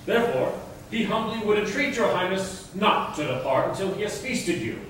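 Man acting a high-pitched courtly messenger, echoey stage. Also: lively intonation, moderate pace, fairly bright tone. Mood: formal, courteous. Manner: declamatory, formal. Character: courteous male messenger delivering a lord's invitation. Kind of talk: staged reading of Elizabethan verse drama. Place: large indoor theatre stage. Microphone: far camera. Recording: distant echoey theatre stage, faint noise.

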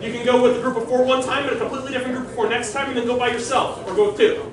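A man with a very high, projecting voice gives informal instructions to a group as an event organizer. He is recorded from a distance in a heavily echoing open shelter, with a crowd clearly audible around him. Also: fairly even intonation, racing. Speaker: organizer of a live-action roleplay event. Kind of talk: loud rules briefing to a group. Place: open-sided concrete park pavilion. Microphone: far camera.

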